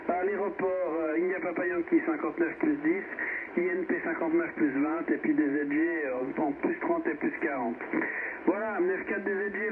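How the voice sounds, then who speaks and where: A man chatting casually and hesitantly as an amateur radio operator over shortwave radio. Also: a little high. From Switzerland. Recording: narrowband shortwave radio, loud static noise.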